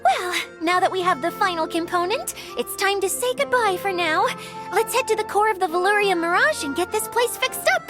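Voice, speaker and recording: Bashful, helpful young game heroine, extremely high and melodic, polite, light music behind. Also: female voice, lively intonation, a little fast, hardly any pauses. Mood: modest, polite. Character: modest, helpful, bashful young woman costume maker. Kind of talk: animated game cutscene acting.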